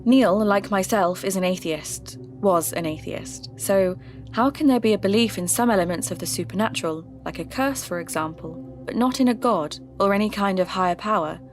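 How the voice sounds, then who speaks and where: A woman playing a curious, polite podcast host and investigator, speaking in a measured, everyday way as in a scripted audio drama. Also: mid-range voice, soft background music, lightly acted. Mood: earnest, narrating. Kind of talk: scripted narration and staged interview.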